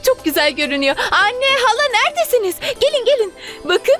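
Excited bossy young wife, really high lively voice, tv drama dub, insistent. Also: female voice, fairly quick, music in the background. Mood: excited, happy. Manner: animated, insistent. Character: eager, bossy, affectionate young wife planning a surprise. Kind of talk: Turkish dubbing of a TV drama.

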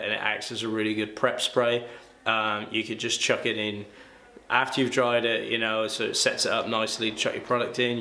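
Young man, casual barber vlogger, lowish voice, bright tone, roomy echo. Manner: relaxed and chatty, many ums and uhs. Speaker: barber reviewing a hair product. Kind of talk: casual product review vlog. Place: small room indoors. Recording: echoey room with faint ambience.

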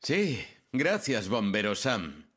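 A man's voice: lowish voice, wildly swooping pitch, slow pace, heavily acted. A man voicing a nervous, sheepish handyman in a children's cartoon. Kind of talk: animated children's cartoon dubbing. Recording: loud music behind.

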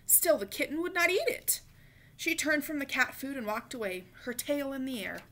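A woman reading a children's picture book aloud in an expressive, emphatic way, acting it out for young listeners. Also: high voice, moderate pace. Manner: animated, emphatic, acts out dialogue. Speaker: storybook reader. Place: small living room, indoors on a couch.

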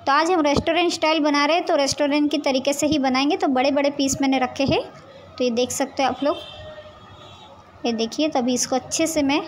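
A woman with a high, light voice talks viewers through a recipe step by step. Her delivery is calm and explanatory, often pointing things out as she goes. A faint hiss of background noise sits under the voice. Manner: calm, explanatory, addresses viewers directly with frequent "see this". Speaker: home cooking channel host. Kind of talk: step-by-step cooking demonstration voice-over. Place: home kitchen.

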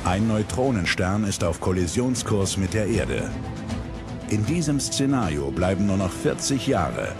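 A man narrates in a very low voice. The delivery is scripted and dramatic, as in a documentary. Music plays clearly behind him. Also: big pitch swings, unhurried.

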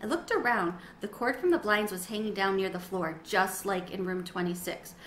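A middle-aged woman giving an expressive, dramatized read-aloud of a children's story. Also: medium pitch, normal speed. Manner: animated, dramatizing. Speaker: storybook reader. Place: small sunroom with windows, indoors. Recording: roomy echo, faint background noise.

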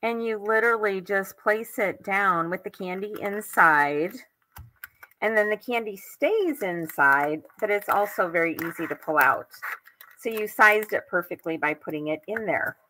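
A woman's voice: medium pitch, normal speed, bright, forceful tone, slight background noise, poor audio. An older woman with an American accent hosting a craft tutorial live from home, chatting casually as she demonstrates. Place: indoors at home.

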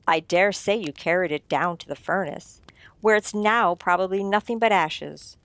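A woman playing a shrewd writer-sleuth who explains things theatrically and deliberately in an old-time radio mystery. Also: on the low side, a bit bright, no echo. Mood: smugly accusing.

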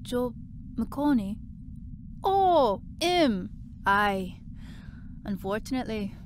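Woman playing a slow-talking, breezy gal. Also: fairly high pitch, lively intonation, a little hiss or hum. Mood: awkward, offhand. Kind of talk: audio drama dialogue.

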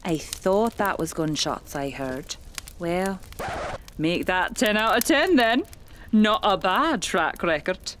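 A woman playing a fluttery, awkward and quietly sinister medium who muses theatrically to herself in an audio horror drama. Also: mid-range voice, animated, takes it slowly, slight background noise. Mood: fussy, awkward. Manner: theatrical, exclamatory, musing to herself.

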